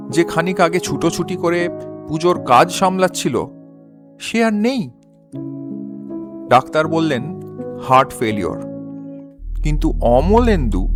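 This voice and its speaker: A man narrating a scripted crime story dramatically, with measured pauses. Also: fairly high pitch, big pitch swings, over music.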